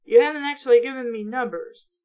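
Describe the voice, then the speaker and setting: High-voiced, sarcastic woman commentator. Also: fairly quick, smooth, dull audio. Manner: blunt, sweary, mocking. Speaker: book reviewer reading aloud with commentary. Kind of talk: sarcastic read-along commentary.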